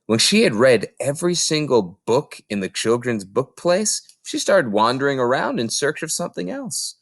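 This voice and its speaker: A man reading a children's novel aloud as an expressive narrator. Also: mid-range voice, moderate pace, no echo, studio quality. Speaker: reader.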